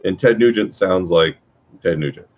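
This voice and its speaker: A man teaching guitar in a casual workshop talk, with a low voice and a rambling way of speaking, full of fillers and restarts. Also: moderate pace. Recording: dull lo-fi sound.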